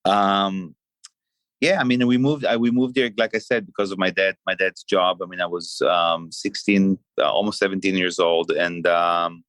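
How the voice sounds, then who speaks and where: A middle-aged man from Israel, a chef and restaurant owner, talking through his story in a halting way with many fillers and restarts on a podcast. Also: deep voice, fairly even intonation, fairly bright tone, no room sound. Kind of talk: casual podcast interview.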